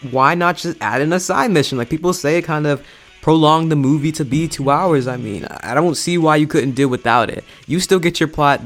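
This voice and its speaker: A man's voice: fairly high pitch, a bit bright. A young adult man giving a casual, enthusiastic and emphatic video movie review in slangy language. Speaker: YouTube reviewer.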